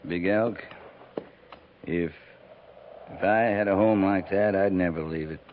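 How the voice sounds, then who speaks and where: A man's voice: low voice, measured pace. A man acting a tough, principled, guilt-ridden American cavalry captain, middle-aged and blunt, in 1950s radio drama style. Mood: stern, measured. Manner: terse, commanding. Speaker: actor. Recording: muffled, noisy 1950s radio recording.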